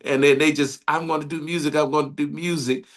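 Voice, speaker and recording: A man's voice: fairly high pitch, flat delivery, a little fast. Enthusiastic man hosting an interview. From Detroit, Michigan. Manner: enthusiastic, rambling, laughs often. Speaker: radio interview host. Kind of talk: casual interview chat.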